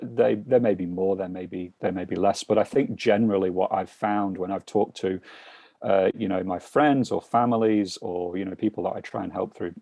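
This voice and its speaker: Gravelly low British man, halting. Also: darkish tone, no echo. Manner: hesitant, many ums and restarts. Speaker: financial mentor. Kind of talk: casual chat on a video call.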